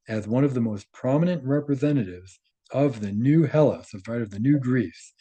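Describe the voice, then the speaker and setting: A man reading a text aloud and commenting on it informally, halting at times, correcting himself and checking in with the listener. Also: medium pitch, warm tone, breathy edge. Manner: halting, self-correcting, often checks with "right?". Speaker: host reading and commenting on a translated article.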